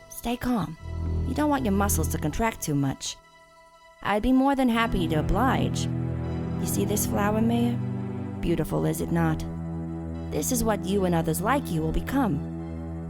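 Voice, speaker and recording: A woman voicing a calm, murderous female gardener who speaks softly and without hurry, in an audio drama with music behind her. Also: medium pitch, lightly acted. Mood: cold menace. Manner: soft, unhurried, menacing.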